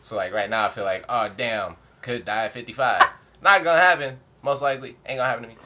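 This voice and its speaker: A man's voice: medium pitch, fast talker. A man in his late twenties, a rapper co-hosting a podcast, joking loosely in casual chat. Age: 20s. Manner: loose, joking, trails off into asides. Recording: dull lo-fi sound with faint noise.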